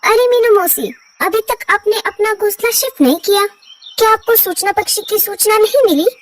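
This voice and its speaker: Woman as a very high-pitched earnest little bird. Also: expressive, moderate pace, far from the mic. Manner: earnest, respectful. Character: small helpful female bird, earnest and polite. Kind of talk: Hindi cartoon story dubbing.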